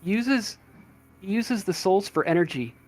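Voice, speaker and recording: A man narrating a tabletop role-playing game as game master, describing scenes in halting pieces. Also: high-pitched, expressive. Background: music in the background.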